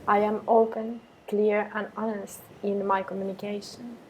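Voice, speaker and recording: A woman meditation teacher guiding affirmations in a calm, measured way with pauses between phrases. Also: medium pitch, muffled recording, a little hiss or hum. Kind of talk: guided meditation with repeated affirmations.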